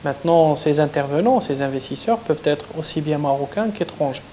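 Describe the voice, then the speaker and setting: A man with a somewhat high voice speaks as a stock exchange official in a formal interview, measured and precise, pausing briefly now and then. A faint hiss runs under him. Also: lively intonation, dark tone.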